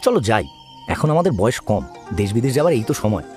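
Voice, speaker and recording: A man's voice: mid-range voice, sing-song, quick pace, a little mellow, soft background music, close to an everyday voice. A man playing a keen, adventurous young doctor in an audio drama, conversational and persuasive. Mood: enthusiastic. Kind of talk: dramatized audio story dialogue.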